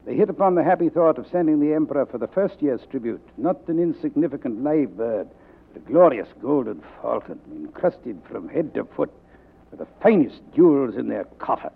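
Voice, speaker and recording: An actor playing a suave, greedy fat crime boss with florid, courteous manners in a 1940s radio drama. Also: male voice, medium pitch, animated, brisk, gravelly, breathy edge. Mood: genial, grandiose. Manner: florid, formal, courteous address. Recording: tinny vintage 1940s radio recording.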